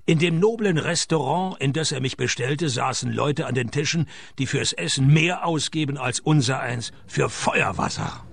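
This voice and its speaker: Gravelly, deadpan private eye. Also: male voice, medium pitch, moderate pace, hardly any pauses. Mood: dry, laconic. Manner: dry, deadpan, sardonic. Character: wry, hard-drinking male private detective narrating his case. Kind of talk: radio play detective narration and dialogue.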